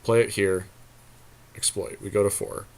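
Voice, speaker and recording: Low-pitched man talking through a card game. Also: little pitch movement, moderate pace, creaky, poor audio. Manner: informal, profane banter. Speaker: podcast co-host playing an online card game. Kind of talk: casual game commentary, talking through plays.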